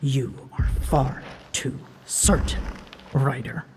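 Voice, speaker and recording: A woman voicing an ancient, huge female dragon in a scripted roleplay drama, haughty and easily flustered, scolding her rider in formal, measured speech with hidden fondness. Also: rumbling low, steady tone, darkish tone, heavily acted. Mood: stern, unsettled. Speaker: voice actor. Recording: long reverb, clear background noise.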